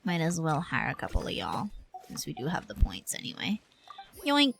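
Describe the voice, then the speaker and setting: A woman's voice: low-pitched, wildly swooping pitch, moderate pace, gravelly, slight background noise. A woman livestreaming a game with casual, playful, slangy commentary, chatting to herself and to her viewers.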